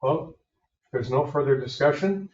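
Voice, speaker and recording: Male meeting chair, plainspoken and measured, heard from across an echoey room. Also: medium pitch, a little mellow. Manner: measured, conversational. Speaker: board chair. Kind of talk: public meeting discussion. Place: village hall meeting room. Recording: echoey room, distant muffled mic.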